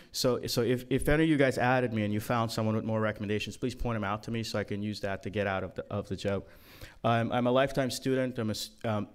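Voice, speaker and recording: A man's voice: lowish voice, clear, resonant tone. A man from Guyana giving a casual, joking talk to a conference room, with many ums and uhs. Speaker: conference speaker.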